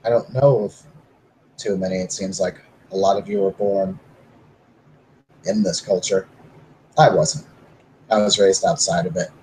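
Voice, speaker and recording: A man's voice: fairly even intonation, unhurried, pauses often, darkish tone, slight background noise, poor audio. A man playing Emmet, a modest, unsure young werewolf, speaking hesitantly in a tabletop roleplay. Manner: modest, hesitant, low-key. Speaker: player character.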